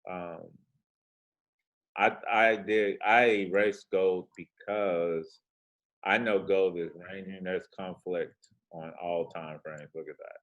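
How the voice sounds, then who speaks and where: A man with a very deep, low voice and a soothing tone talks through charts in a relaxed, slangy way. He drifts into rambling asides as he goes, easygoing and conversational. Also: slow, lo-fi sound. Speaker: forex trading host. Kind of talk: casual chart walkthrough.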